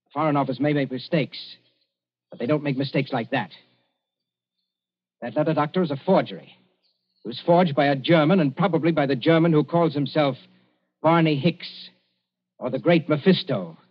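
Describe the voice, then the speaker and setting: Sardonic governor, muffled old radio sound. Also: male voice, a little high, moderate pace, long pauses, husky. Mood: cool defiance. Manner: dryly sardonic, commanding. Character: cool-headed British governor, sardonic colonial sleuth. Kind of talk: old-time radio drama acting. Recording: muffled vintage radio recording.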